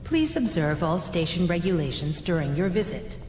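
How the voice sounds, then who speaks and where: An actress voicing a polished, impassive space station computer in a video game, formal and corporate over a public address system. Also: female voice, on the low side, very animated, moderate pace, darkish tone. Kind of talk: automated station announcement. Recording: echoing hall reverb, music behind.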